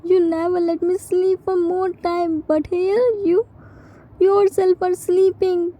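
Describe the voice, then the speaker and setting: A woman voicing a grieving young man who scolds and pleads in halting phrases, in a dramatised fan story. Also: extremely high pitch, level tone, mellow, pitched above the performer's own voice. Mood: pleading, anguished. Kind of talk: dramatised fan-fiction dialogue.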